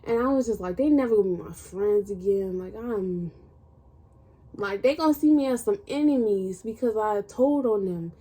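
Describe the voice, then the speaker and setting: A woman's voice: mid-range voice, moderate pace, dark tone, poor audio. A woman vlogger telling a personal story in casual, colloquial speech, acting out what people said. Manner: informal, colloquial phrasing.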